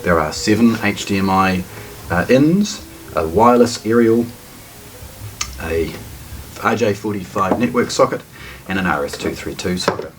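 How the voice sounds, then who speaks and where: A man's voice: low voice, animated, moderate pace, pauses often. A man presenting a product walkthrough off the cuff in a hesitant, matter-of-fact way. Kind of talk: unscripted product unboxing walkthrough. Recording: distant mic, noticeable background noise.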